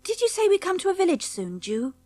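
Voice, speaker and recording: A woman's voice: very high voice, big pitch swings, fairly quick, dark tone, dry recording. A woman playing an earnest, strong-willed young girl in an audiobook, intense and fretful. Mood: anxious. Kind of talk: audiobook character dialogue.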